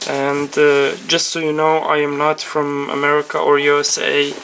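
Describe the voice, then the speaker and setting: Low, flat-voiced Ukrainian-accented woman. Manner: halting, repetitive phrasing in non-native English. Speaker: phone repair technician presenting a tutorial. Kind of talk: unscripted hands-on repair tutorial.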